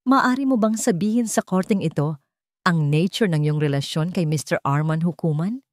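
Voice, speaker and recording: A woman's voice: lowish voice, animated, normal speed, no echo, clean studio sound, close to an everyday voice. A woman voicing a composed lawyer in a story, formally questioning witnesses in court. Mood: formal, probing.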